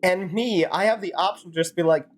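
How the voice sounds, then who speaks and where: A woman's voice: fairly low pitch, a little fast. A woman streamer improvising rambling, sarcastic commentary and role-play while playing a game. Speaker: streamer fan-dubbing a game.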